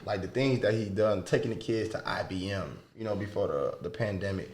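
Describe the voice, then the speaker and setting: A man with a low voice hosts a casual podcast interview. He talks in an informal, slangy way and repeats phrases for emphasis. The room adds a clear echo to his voice. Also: brisk, a little mellow. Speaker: podcast host. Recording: roomy, echoing sound.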